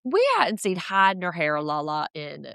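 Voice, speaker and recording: A woman with a slightly deep voice, co-hosting a podcast in sardonic, chatty recap talk. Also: moderate pace, creaky, a crowd in the background. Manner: chatty, sardonic, animated asides. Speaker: podcast co-host. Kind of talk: casual recap chat.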